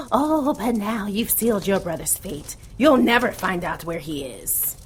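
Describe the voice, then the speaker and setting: An actress playing a hideous female demon who is cruel, mocking and seductive in a slow, theatrical audio drama manner. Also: medium pitch, animated, slight background noise, close to an everyday voice. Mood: furious, menacing.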